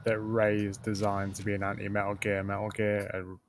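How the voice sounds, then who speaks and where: A man's voice: lowish voice, completely flat delivery, a little fast, a crowd in the background. A man chatting casually as a guest co-commentator on a game stream. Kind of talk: casual chat over gameplay. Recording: noisy stream voice chat.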